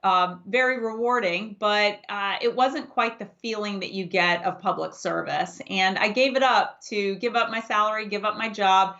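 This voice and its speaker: A woman in her forties, a native Farsi speaker raised in the United States, giving steady, emphatic answers as a political candidate in an interview. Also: medium pitch, normal speed, hardly any pauses. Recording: dull lo-fi audio.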